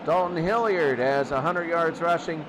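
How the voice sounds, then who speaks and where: High-pitched man calling football, noisy recording. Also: normal speed. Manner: repeats phrases, short clipped calls. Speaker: play-by-play announcer of a football game. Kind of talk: excitable sports play-by-play commentary.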